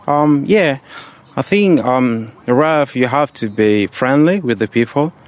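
A young man of seventeen, from Egypt, speaks in a medium-pitched voice. He answers interview questions casually and simply, with some hesitation as he finds his words. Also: animated, measured pace. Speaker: student on a referee course, interviewee.

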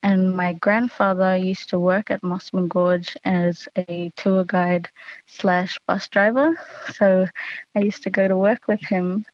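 Slow, even-toned young Australian woman. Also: medium pitch, no room sound, dull audio. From Queensland, Australia. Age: late teens. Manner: relaxed and earnest, with casual fillers. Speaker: student sharing words of her language. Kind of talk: personal talk.

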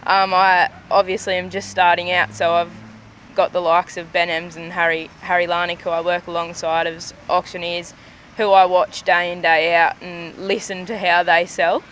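A young woman starting out as an auctioneer, with a deep voice, talking eagerly and with determination. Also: flat delivery, moderate pace, fairly bright tone, slight background noise, low-quality recording. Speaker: trainee auctioneer.

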